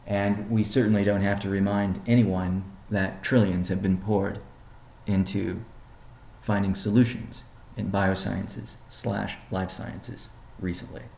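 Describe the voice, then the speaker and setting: Deep, gravelly male executive in a roomy space. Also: level tone, unhurried, dark tone, a little hiss or hum. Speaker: company CEO presenting. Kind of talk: prepared business presentation on a conference call. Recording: roomy, echoing sound.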